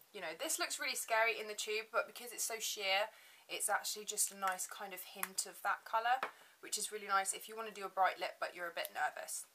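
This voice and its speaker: A young woman, a little gravelly today, chatting casually to camera in her bedroom as a beauty vlogger. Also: mid-range voice, bright, forceful tone. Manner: chatty, filler-heavy, upbeat. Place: bedroom, indoors.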